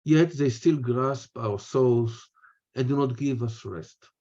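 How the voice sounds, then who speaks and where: An older man with an Israeli accent gives a prepared webinar talk in deliberate, measured phrases. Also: medium pitch, mellow. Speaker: speaker, retired history professor. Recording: muffled, lo-fi webinar audio.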